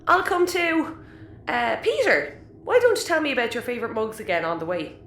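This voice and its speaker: An actress playing an excitable, playful and kindly crewmate in a sci-fi audio comedy. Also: female voice, fairly high pitch, moderate pace. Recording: roomy echo, faint background noise.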